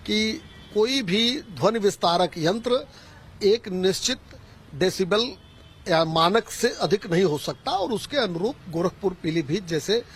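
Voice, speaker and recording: A man with a husky voice giving a formal statement as a spokesman. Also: high-pitched, expressive, a little slow, a bit bright, a little hiss or hum.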